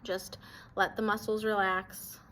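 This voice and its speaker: Female guide for a grounding exercise, mid-pitched, soothing and permissive instruction, light ambient noise. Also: flat delivery, unhurried. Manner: gentle, reassuring, permissive instruction. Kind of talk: guided grounding body scan meditation.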